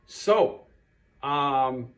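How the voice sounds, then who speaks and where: A man's voice: medium pitch, lively intonation, drawn-out words, a bit bright. A man presenting a children's puppet show, speaking directly to a child and explaining things simply. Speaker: puppet show performer and host.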